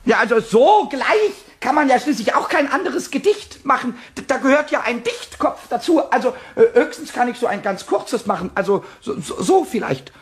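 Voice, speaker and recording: A small, hot-headed kobold for a children's radio play. The voice is squeaky and very high, leaping wildly in pitch. He whines, squawks and stutters excitedly like an unruly child. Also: normal speed, few pauses, husky, heavily acted. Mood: excited, boastful. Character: small hot-tempered childish male kobold, impish and whiny.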